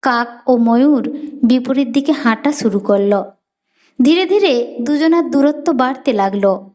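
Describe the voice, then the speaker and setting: A woman's voice: sits high, animated, unhurried, a little mellow. A woman reading a scripted story as a storyteller.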